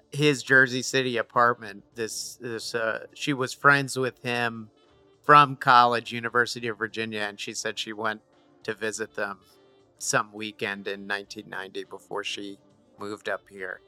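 A man's voice: medium pitch, bright, forceful tone, clean tone, dry recording. A man hosting a podcast, chatting casually with fillers and restarts. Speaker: podcast host.

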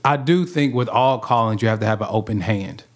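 A man's voice: lowish voice, fairly quick. A man in a casual panel discussion, explaining his views informally with conversational asides. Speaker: youth ministry worker.

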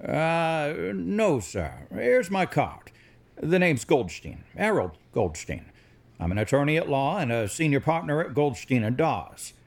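Male actor for earnest senior lawyer in audio drama. Lively rise and fall, polite, conciliatory, formal. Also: fairly high pitch, a little slow, heavily acted. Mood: courteous.

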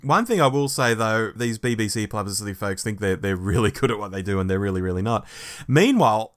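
A man co-hosting a podcast, chatting informally and wryly about fan news. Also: on the low side. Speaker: podcast co-host. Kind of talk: casual discussion of Doctor Who fandom news.

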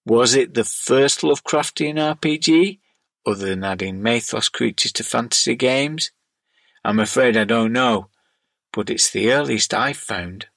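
A man's voice: mid-range voice, measured pace, a bit bright, no echo. A middle-aged man reading scripted narration and listener letters aloud as a podcast host.

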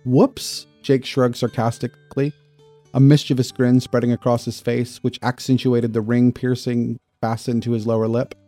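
Male story narrator, soft dark tone, middle pitch, expressive scripted read, close dry recording. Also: normal speed, dry recording, faint music behind.

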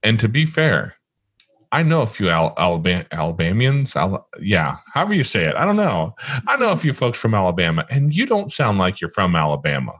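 Midwestern male podcast host, very low voice, dry close sound, chatty and meandering. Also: wildly swooping pitch, fairly quick, fairly bright tone, husky, no room sound. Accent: Midwestern American. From American Midwest. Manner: rambling, restarts often. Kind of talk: casual interview chat.

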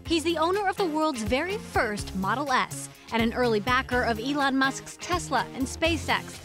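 A woman hosts a broadcast interview, her voice pitched a little high as she asks crisp, polished questions. Faint music sits under her. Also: lively intonation, normal speed. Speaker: interview host.

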